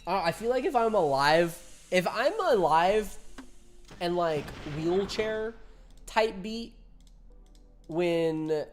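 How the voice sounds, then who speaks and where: A man's voice: high-pitched, expressive, takes it slowly, pauses often, soft background music. A man in his twenties chatting casually with viewers while streaming a game, laughing often and reading chat aloud. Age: 20s.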